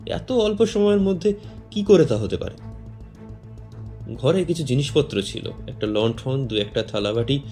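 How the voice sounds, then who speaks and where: A man narrating a horror story in the first person, theatrical and suspenseful, with drawn-out pauses. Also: medium pitch, expressive, mellow, soft background music.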